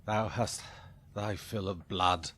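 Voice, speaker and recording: An actor playing a weary, noble warrior in a solemn Shakespearean audio drama. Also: male voice, deep voice, fairly even intonation, fairly bright tone, slight background noise. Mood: weary, spent. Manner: slow, solemn delivery. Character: weary noble Trojan warrior, done with fighting.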